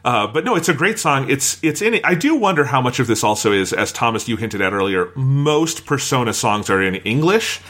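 Bright-voiced man hosting a casual podcast. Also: mid-range voice, big pitch swings, brisk, hardly any pauses. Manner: informal, swears casually. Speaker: podcast host.

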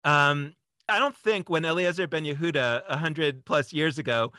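A man's voice: a little high, normal speed, pushed and bright, clear, resonant tone, no room sound. A man speaking as a podcast guest, explaining ideas in a casual, discursive way as he thinks aloud.

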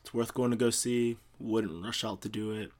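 A man's voice: on the low side, steady tone, normal speed, darkish tone. A man co-hosting a casual podcast about movies, joking wryly and sarcastically. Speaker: podcast co-host.

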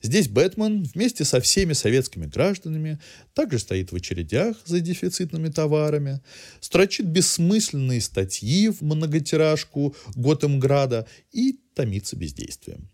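A man's voice: fairly high pitch, big pitch swings, moderate pace, a little mellow. A man hosting a podcast, reading a scripted cultural review in an articulate, literary way. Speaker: podcast host. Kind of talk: scripted cultural news narration.

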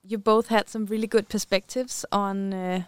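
A woman hosting a company podcast, chatty and upbeat, with many "uh" fillers. Her voice is smooth and clean, at a middle pitch, and recorded dry and close. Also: flat delivery, moderate pace, no room sound. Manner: upbeat, with frequent laughs and "uh" fillers. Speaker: podcast host.

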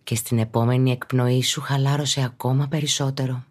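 A woman calmly narrates a guided relaxation practice as a teacher, in a measured, instructional way. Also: very deep voice. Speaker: yoga and meditation teacher, podcast host.

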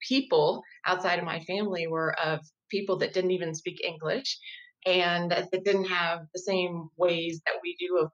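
A woman in her fifties speaks as an interview guest, her voice sitting a little low. She explains things in a measured, thoughtful way and sometimes restarts a sentence while she thinks. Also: lo-fi sound. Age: 50s. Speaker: guest, diversity educator. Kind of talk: conversational interview.

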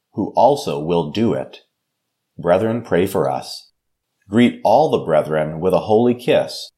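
An American man speaking as a pastor in a measured, solemn and formal devotional style. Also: fairly low pitch, warm tone. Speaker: pastor and podcast host. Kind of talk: devotional scripture reading, short sermon and prayer.

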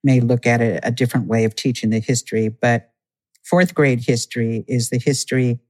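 A man speaking as an interview guest, earnest and explanatory, laying points out at a steady, measured pace. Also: mid-range voice. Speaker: guest, Native community organizer. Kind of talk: conversational interview.

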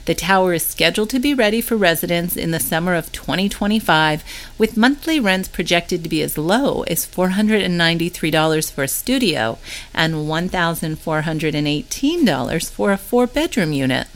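Woman reading a newsletter, lower-pitched voice. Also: lively intonation, normal speed. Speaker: newsletter reader. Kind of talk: scripted news narration, read aloud.

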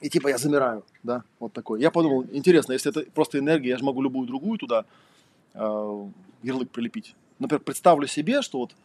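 A man delivers a relaxed, conversational lecture, full of fillers and rhetorical asides. His voice is husky and his delivery quick, at a middle pitch. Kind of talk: casual lecture.

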